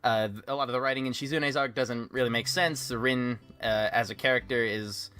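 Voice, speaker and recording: A man's voice: medium pitch, fairly even intonation, normal speed, bright, forceful tone. A man hosting a casual group chat, sarcastic and swearing freely, with music playing behind him. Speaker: host of a podcast about a fan voice project. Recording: background music. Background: guitar music.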